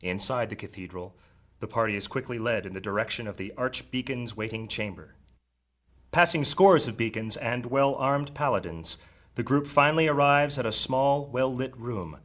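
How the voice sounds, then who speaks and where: Male narrator, medium pitch, scripted fantasy storytelling. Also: moderate pace, fairly bright tone.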